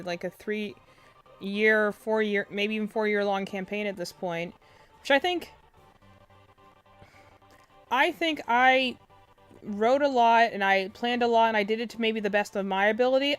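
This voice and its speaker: A young woman with a quiet, soft voice chatting casually on a game stream, rambling with lots of fillers and restarts, close to her desk mic. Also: mid-range voice, clear, resonant tone. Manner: rambling, filler-heavy, self-interrupting. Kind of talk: casual live-stream chat. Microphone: desk mic close to face.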